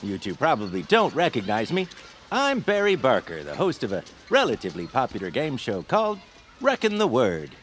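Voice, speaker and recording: A man's voice: medium pitch, wildly swooping pitch, normal speed, soft background music. An actor playing a dashing, courteous male game show host in a comedy audio drama, polished and polite. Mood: politely smooth.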